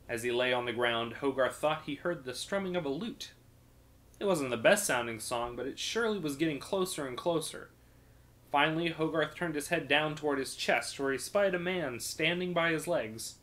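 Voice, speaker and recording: A man's voice: mid-range voice, fairly bright tone. A man reading a fantasy story aloud as a podcast storyteller.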